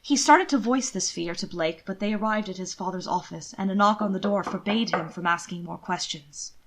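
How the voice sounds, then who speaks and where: A woman reads fiction aloud at a middle pitch. Her delivery is scripted and expressive, in the manner of an amateur audiobook narrator telling a dramatic period tale. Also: moderate pace, low-quality recording.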